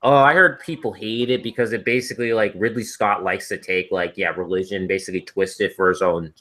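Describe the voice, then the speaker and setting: A man's voice: fairly low pitch, steady tone, brisk, sharp tone. A man chatting casually and wordily, repeating himself as he circles around his point. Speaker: co-host of a casual stream. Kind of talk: casual rambling chat.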